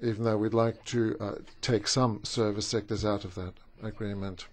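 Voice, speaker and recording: A man with a strong Austrian German accent, a native German speaker, speaking haltingly as a trade union representative at a conference. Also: on the low side, little pitch movement. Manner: halting, with many fillers and restarts. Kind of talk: conference speech.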